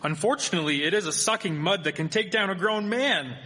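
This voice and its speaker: An actor playing a cheerful, polite 1870s village gentleman in a live radio drama, with formal old-fashioned diction. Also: sits high, a little fast. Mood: reasoning. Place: performing arts theatre stage. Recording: echoey hall, live stage performance.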